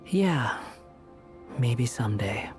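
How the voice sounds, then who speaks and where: A man's voice: medium pitch, animated, slow pace, over music. A man voicing a casual, wry young wanderer in video game dialogue. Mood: wry, wistful.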